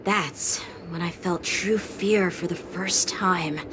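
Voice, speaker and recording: A woman voicing an earnest, serious young boy sword apprentice in a game cutscene, speaking in a reflective, measured way. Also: lowish voice, background noise. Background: game score music.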